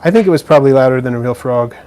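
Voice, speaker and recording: A man chatting through a relaxed live-stream Q&A from beside his terrarium. His voice sits at an ordinary middle pitch. He talks easily, full of "um"s and little restarts. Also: rapid-fire, slight background noise. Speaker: live stream host answering viewer questions. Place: indoors beside a frog terrarium.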